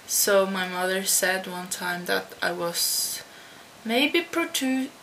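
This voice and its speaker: Slow, halting teenage girl vlogging. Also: medium pitch, lively intonation, gravelly, fairly bright tone, poor audio. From Netherlands. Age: teens. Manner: slow and halting, with many restarts. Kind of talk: casual talk to camera answering a tag. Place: at home by her computer. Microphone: computer webcam. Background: faint hum of computer fan.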